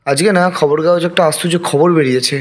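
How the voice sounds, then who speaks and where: A man's voice: on the high side, quick pace, close to an everyday voice. A man with a West Bengal Bengali accent playing a patient detective in an amateur web drama. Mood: explaining, intrigued. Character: male detective, elder-brother figure, explaining patiently.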